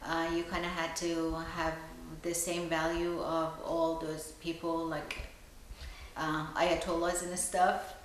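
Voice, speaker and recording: Flat-toned woman from Iran, very echoey. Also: sits low, takes it slowly, slight background noise. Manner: soft, hesitant, many "um"s. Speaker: interviewee. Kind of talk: documentary interview.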